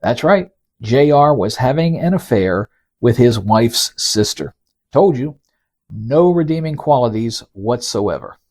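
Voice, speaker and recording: Husky guy with some gravel, hosting a storytelling podcast, folksy, teases the listener a bit. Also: medium pitch, expressive, unhurried, darkish tone. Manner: folksy, teasing, rhetorical asides to the listener. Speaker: podcast host and narrator. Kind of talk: conversational scripted storytelling, reading quotes.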